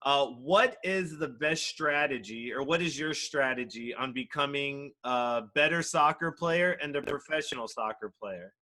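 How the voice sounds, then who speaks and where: A man's voice: medium pitch, a little slow, hardly any pauses, pushed and bright. A man hosting a podcast, asking questions in a casual, joking way. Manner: easygoing, joking. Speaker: podcast host.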